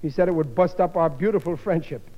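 A man acting as a blustery, none-too-bright working-class American family man, pitched high for a male voice. He exclaims and dramatizes in vintage radio comedy style, with rough grammar. The sound is muffled old broadcast audio. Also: quick pace, natural voice. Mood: indignant. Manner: blustery, exclaiming, ungrammatical everyman talk. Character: blustering, dim-witted working-class husband and father, melodramatic. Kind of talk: 1950s radio sitcom acting.

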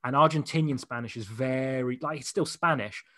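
A man's voice: medium pitch, bright, forceful tone, no echo, studio quality. Young man, casual interview guest, easygoing anecdotal storyteller, laughs readily. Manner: chatty storyteller, quick to laugh. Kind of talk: casual chat.

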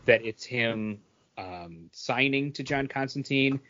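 A man's voice: medium pitch, animated, takes it slowly, a bit bright, lo-fi sound. A man co-hosting a podcast, chatting casually and bluntly about what he has read, with some casual swearing. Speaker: podcast co-host reviewing comics. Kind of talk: casual chat.

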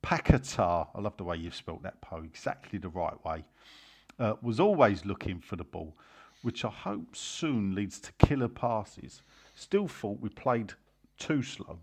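A man's voice: on the low side, expressive, unhurried, a little mellow. A man hosting casual football banter, teasing and blunt as he reads out listener messages. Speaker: host of a football fan podcast.

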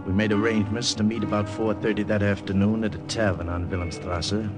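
An actor playing a tense American undercover agent in a radio drama, speaking in a clipped, matter-of-fact way. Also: male voice, fairly low pitch, flat delivery, fairly quick, loud music behind the voice, lightly acted. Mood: terse, watchful. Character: tense American undercover agent narrating his wartime mission. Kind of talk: old-time radio drama narration and dialogue.

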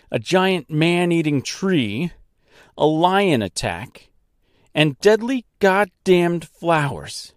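A man voicing a fierce, defiant young woman in an audiobook, assertive and emphatic, with sharp diction and a foul mouth. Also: on the low side, dry recording, clean studio sound.

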